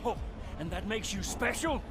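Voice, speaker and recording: An actor playing a proud, fed-up veteran racing driver, heated and combative, in a video game. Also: male voice, sits high, lively intonation, normal speed, background noise. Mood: bitter. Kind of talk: dramatic video game cutscene acting.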